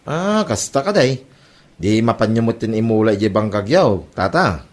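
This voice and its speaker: A man's voice: fairly low pitch, very animated, normal speed. A man with an Ilocano accent playing an industrious, good-natured village farmer in a comedy drama. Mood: curious, friendly. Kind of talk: comedy radio-style drama acting.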